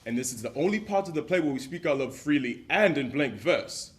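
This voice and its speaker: A man's voice: a little high, lively intonation, brisk, lightly acted. An actor playing a passionate stage actor who coaxes his scene partner and declaims verse with swelling volume. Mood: coaxing. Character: passionate male stage actor rehearsing a romantic lead. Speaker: actor in a dramatized rehearsal. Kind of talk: period drama acting, reciting verse.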